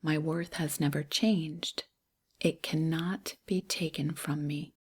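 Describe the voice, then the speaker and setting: A woman leads a guided meditation in a soft, breathy voice that sits close to a whisper. Her pitch is on the low side and her delivery is very slow. She speaks gently in short phrases, leaving space between them. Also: steady tone. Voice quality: breathy, hushed, near-whisper. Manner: gentle, unhurried phrasing with pauses.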